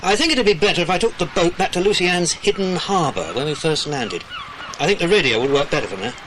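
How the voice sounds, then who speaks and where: An actor with a British accent playing a kindly, watchful middle-aged man guarding children in an audio drama, measured and avuncular. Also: high voice, expressive, a bit bright. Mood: serious. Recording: noisy outdoor sound effects behind.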